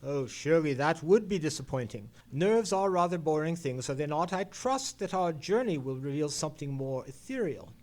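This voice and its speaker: An actor playing a confident, formal Victorian gentleman detective in a live old-time radio mystery play, speaking precisely and theatrically. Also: fairly high pitch, animated, moderate pace, few pauses, close to an everyday voice. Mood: keen, wry.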